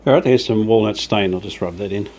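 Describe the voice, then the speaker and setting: A man with a fairly low, soft-edged, mellow voice narrates his workshop job casually as he works. He thinks aloud in an understated, slightly tentative way. There is noticeable background noise, with rubbing and sanding sounds. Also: brisk. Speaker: host of a hobby radio restoration channel. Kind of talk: casual hands-on workshop narration while working. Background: rubbing and sanding while working.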